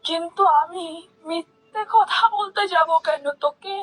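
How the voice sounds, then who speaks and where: Woman playing a high-pitched, complaining old auntie. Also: unhurried, a bit bright, faint music behind, rough recording. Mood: aggrieved, insistent. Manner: complaining, insistent. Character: aggrieved, insistent old village auntie. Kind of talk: cartoon character acting.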